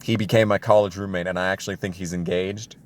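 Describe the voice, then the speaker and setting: A man's voice: sits low, fairly even intonation, quick pace. Profane, self-deprecating young man ranting casually. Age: young adult. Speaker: storytelling video creator. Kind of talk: casual personal storytime rant.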